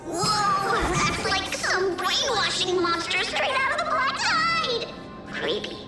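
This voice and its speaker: A woman's voice: very high-pitched, expressive, takes it slowly, exaggerated voice. A woman voicing a small impish male creature sidekick in a video game, theatrical, fawning and nervous. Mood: alarmed curiosity. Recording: roomy echo, distant, under loud music.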